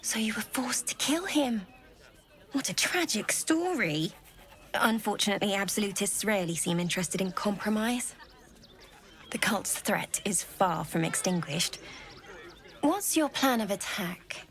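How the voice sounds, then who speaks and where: A woman playing a composed, probing journalist in a fantasy video game, putting pointed questions in a measured manner. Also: medium pitch, expressive, a bit bright. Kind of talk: video game dialogue acting.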